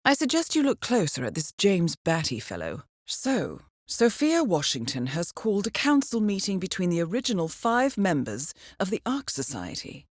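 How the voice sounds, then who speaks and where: An actress playing a composed, shrewd intelligence handler in a video game, briefing an assassin in a measured, formal way with dry understatement. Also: female voice, fairly low pitch, huge pitch swings, no echo. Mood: composed, informative. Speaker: mission handler guiding an assassin. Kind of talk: scripted video game voice acting, briefing narration.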